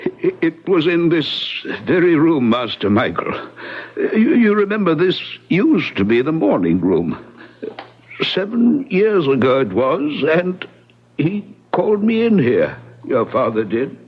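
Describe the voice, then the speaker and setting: Anguished old manservant, high and husky. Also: male voice, big pitch swings, full character voice. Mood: anxious, deferential. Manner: halting, pleading, servile. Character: anguished, loyal, elderly manservant, pleading and deferential. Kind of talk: old-time radio drama acting. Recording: dull lo-fi radio drama recording.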